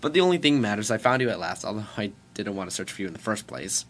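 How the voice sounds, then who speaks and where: A man's voice: fairly low pitch, lively intonation, quick pace. Man reading as a blunt boy inventor. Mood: reproachful. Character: bespectacled blond boy inventor, blunt and confident.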